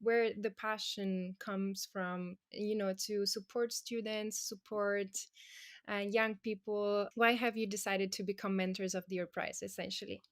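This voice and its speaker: A woman hosting an awards ceremony, introducing guests and asking loosely phrased, halting questions. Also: medium pitch, flat delivery. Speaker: ceremony host. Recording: music playing underneath.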